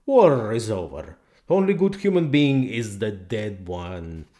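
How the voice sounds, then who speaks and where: A man's voice: lowish voice, big pitch swings, a little slow, mellow. A man voicing a fierce, ruthless male revolutionary pig who declaims in hard commands. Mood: fierce, commanding.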